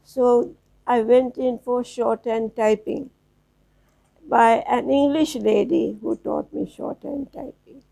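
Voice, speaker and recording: A woman in her nineties from South Africa, reminiscing slowly as an interview guest, with halting restarts. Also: fairly high pitch, warm tone, poor audio. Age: 90s. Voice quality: gravelly, husky. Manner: slow, halting storytelling with restarts.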